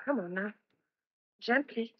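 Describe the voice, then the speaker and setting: An actress playing an anxious, soft-hearted young woman in an old-fashioned radio thriller, speaking in a hesitant, stammering, emotional way. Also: fairly high pitch, animated, slow pace, slight background noise. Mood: gentle, coaxing. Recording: dry, dull lo-fi radio drama recording.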